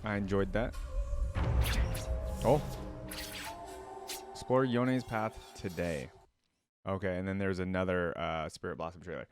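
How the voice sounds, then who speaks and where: A man with a fairly deep voice hosts a casual reaction. He thinks aloud in short remarks and questions, with music clearly playing behind him. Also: measured pace, pauses often. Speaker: reaction video host. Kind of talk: casual live reaction commentary. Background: game trailer music and audio.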